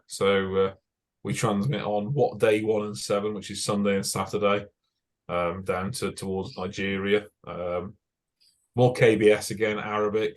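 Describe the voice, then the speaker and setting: A young British man giving an informal technical talk to a radio club as a broadcast engineer, chatty and self-correcting with many fillers. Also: fairly low pitch. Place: club meeting room.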